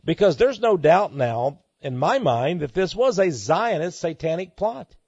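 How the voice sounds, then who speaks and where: Male radio preacher type, impassioned and repetitive for emphasis, very animated delivery, dry but slightly muffled sound. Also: a little high, measured pace, husky, no echo. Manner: emphatic, dramatic, repeats phrases for effect. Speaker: talk show host and preacher. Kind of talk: impassioned monologue to listeners.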